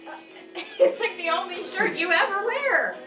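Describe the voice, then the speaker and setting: Stage play: woman as outspoken, exasperated girlfriend, high animated voice, echoey room. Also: moderate pace, faint music behind, exaggerated voice. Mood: fretful, teasing. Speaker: actor in a staged reading. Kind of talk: live stage play reading. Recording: roomy, distant mic with faint noise.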